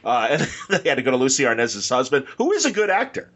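Husky, lively male podcast host. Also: medium pitch, quick pace. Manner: rambling, enthusiastic, acts out imagined quotes. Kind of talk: casual fan conversation about films.

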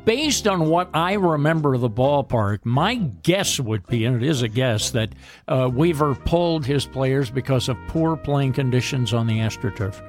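A man hosting a sports radio show in a measured announcer's manner, moving between chat and scripted introductions. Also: mid-range voice, animated, soft background music.

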